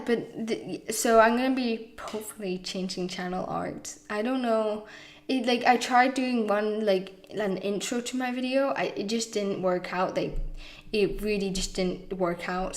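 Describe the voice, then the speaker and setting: A woman chatting casually in a rambling voiceover over gameplay footage, losing her voice, with frequent fillers and restarts. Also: mid-range voice. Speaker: YouTube game builder.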